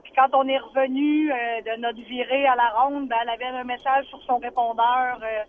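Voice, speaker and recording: A woman's voice: sits high, steady tone, brisk. A woman with a Quebec French accent calling a radio show by phone to tell a personal story casually. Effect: phone line. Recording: noisy band-limited phone call.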